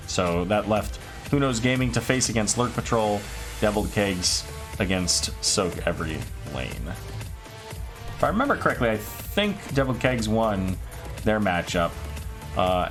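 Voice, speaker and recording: A man casting an esports match alone, calling plays quickly and then chatting loosely, his voice tired and a little raspy late at night. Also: low voice. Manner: quick excited calls, then loose rambling sign-off. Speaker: esports caster. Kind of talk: solo esports play-by-play commentary.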